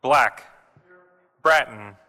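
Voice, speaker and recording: A man working as a senate clerk, calling out formally and deliberately in isolated phrases, as in a roll call. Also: mid-range voice, sharp tone. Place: large legislative chamber. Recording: echoey hall with long reverb.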